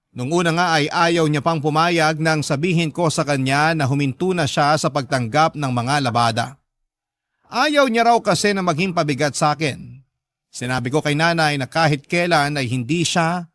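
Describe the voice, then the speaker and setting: A man with a fairly high voice narrates a scripted radio drama story in the first person. His delivery is measured and sentimental, recorded very dry and close. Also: a bit bright, dry recording.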